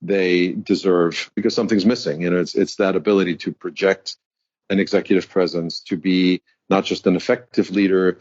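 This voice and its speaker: Man, deep voice, dull lo-fi sound, articulate guest. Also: steady tone, moderate pace, a little mellow, no room sound. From Germany. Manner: articulate, reflective, storytelling. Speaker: guest, executive coach. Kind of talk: interview conversation.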